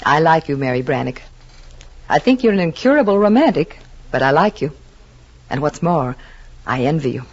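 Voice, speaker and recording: Casting: candid, talkative military wife, low husky voice with lively swings, vintage radio drama. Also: female voice, moderate pace, long pauses, exaggerated voice. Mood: wry, friendly. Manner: chatty, candid, hesitant when advising. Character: frank, chatty, homesick American officer's wife. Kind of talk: 1940s radio drama acting. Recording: muffled old radio broadcast.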